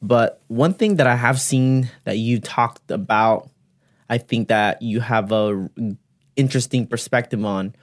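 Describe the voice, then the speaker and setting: A man's voice: medium pitch, measured pace. Casual podcast host man, says bro.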